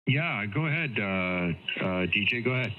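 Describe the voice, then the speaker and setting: A man's voice: lowish voice, animated, normal speed. A man with a head cold chats casually over amateur radio, explaining things in a rambling way. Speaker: amateur radio operator on a group call. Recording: noisy, dull ham radio transmission.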